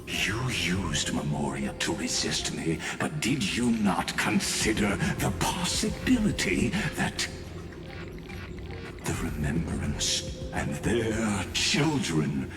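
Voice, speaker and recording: A man voicing an arrogant, cerebral scholar villain in a video game, with a pompous, deliberate, academic delivery. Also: deep voice, sing-song, exaggerated voice. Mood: lecturing, grandiose. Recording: echoey, with music behind. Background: music.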